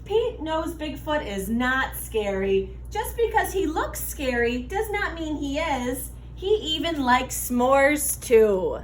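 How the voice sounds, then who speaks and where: A woman reading a children's picture book aloud in an animated, deliberate way for young listeners. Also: sits high, reverberant, slight background noise. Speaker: read-aloud storyteller for children.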